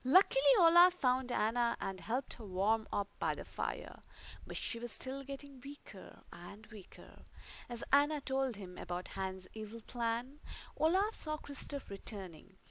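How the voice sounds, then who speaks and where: A woman reading a children's story aloud in an expressive, deliberate storytelling manner. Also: fairly high pitch, rough recording. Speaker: storybook reader.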